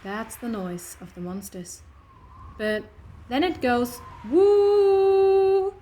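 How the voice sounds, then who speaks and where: A woman's voice: high-pitched, animated, extremely slow, mellow, full character voice. A woman playing a playful, reassuring alien heroine in a fan audio drama, coaxing and teasing as she talks. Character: playful, reassuring time-travelling alien heroine, a voice in a boy's head. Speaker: voice actor. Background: music.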